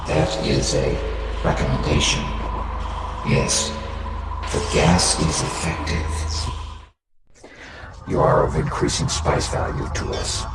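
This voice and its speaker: An actor playing a cold, eerie envoy of a secretive merchant house in a video game cutscene, speaking in clipped, formal, detached, halting phrases. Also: male voice, rumbling low. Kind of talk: scripted video game cutscene acting, mission briefing. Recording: distant, echoing voice under loud music and noise.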